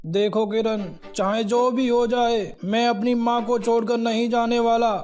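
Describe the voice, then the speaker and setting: A man's voice: very high voice, little pitch movement, a little slow, a little mellow, faint music behind. A man voicing an earnest young husband devoted to his mother in a moral story. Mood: indignant. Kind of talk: animated Hindi moral story dialogue.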